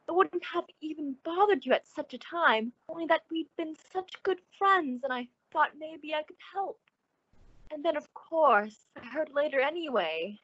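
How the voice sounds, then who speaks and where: Actress as gushing, very high-pitched radio socialite friend. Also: female voice, a bit bright, no echo, lo-fi sound. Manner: effusive, affectionate, drawn-out emphasis. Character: Helen, gushing, solicitous, sociable woman, an old friend. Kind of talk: old-time radio suspense acting.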